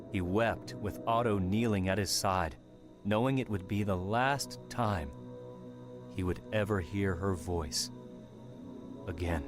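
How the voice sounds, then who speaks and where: Low-voiced man narrating an audiobook. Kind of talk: dramatic scripted narration.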